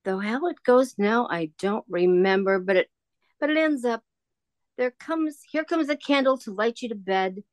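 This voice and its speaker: A woman's voice: on the high side, lively intonation, normal speed, no echo, natural voice. A woman playing a kindly, rambling old shopkeeper in a radio play, hesitant and musing. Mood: wistful, chatty.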